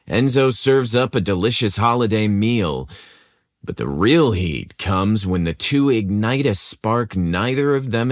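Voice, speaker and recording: Slow-paced man narrating, voice swooping expressively. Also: mid-range voice, no room sound, clean studio sound. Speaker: podcast host and narrator. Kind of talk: scripted story narration with teasing, suggestive delivery.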